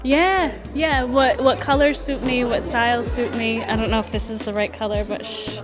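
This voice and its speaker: Female client testimonial, higher-pitched casual voice, music clearly underneath. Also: steady tone, normal speed. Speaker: styling client. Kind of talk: casual interview.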